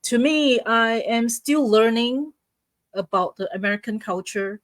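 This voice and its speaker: A woman's voice: a little high, measured pace, no room sound, studio quality. A middle-aged woman with a Malaysian accent, chatting casually as a guest. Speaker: guest, stay-at-home wife. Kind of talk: casual self-introduction.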